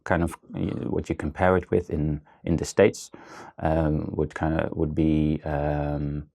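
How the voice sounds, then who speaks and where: A man's voice: very deep voice, level tone, measured pace, vocal fry, warm tone. A man in his thirties with a Dutch accent, speaking as an interview guest in a hesitant way, with many fillers and restarts. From Netherlands. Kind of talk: casual interview talk.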